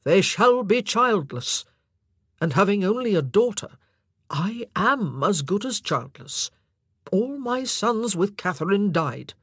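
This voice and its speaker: A narrator voicing an anguished, impassioned and forceful male monarch in an audiobook drama. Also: mid-range voice, sing-song, takes it slowly, husky, no room sound, studio quality, voice pushed up, heavily acted. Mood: tormented.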